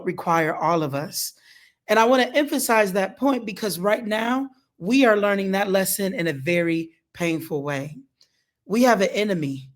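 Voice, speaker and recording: Emphatic organizer making a forceful panel point. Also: mid-range voice. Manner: emphatic, preacherly, drops to quiet at phrase ends. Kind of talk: impassioned panel remarks.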